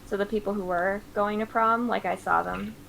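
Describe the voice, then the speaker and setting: A woman's voice: mid-range voice, steady tone, moderate pace, gravelly, over a noisy crowd, low-quality recording. A woman in her twenties chatting casually on her stream while drawing, with plenty of fillers. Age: 20s. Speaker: streamer host.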